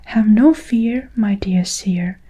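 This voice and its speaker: A woman voicing an eccentric, cheerful male candy maker in a breathy whisper while reading a storybook aloud. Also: medium pitch, slow, warm tone, low-quality recording. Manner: whispered, gleeful.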